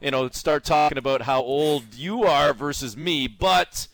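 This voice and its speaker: A man's voice: a little high, measured pace, fairly bright tone, dry recording. A middle-aged man co-hosting a sports show in a conversational interviewing style.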